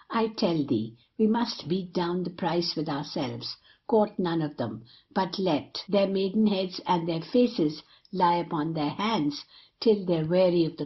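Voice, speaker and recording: Low-voiced scheming young rake played by a woman. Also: highs cut off. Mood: cynical. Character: cynical, scheming young male rake in Restoration comedy. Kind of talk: period stage comedy acting.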